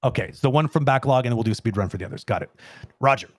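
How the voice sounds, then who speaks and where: A middle-aged man and native English speaker hosting a casual live Q&A in an animated, jokey, wordy way. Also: medium pitch, rapid-fire, no room sound, studio quality. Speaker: host.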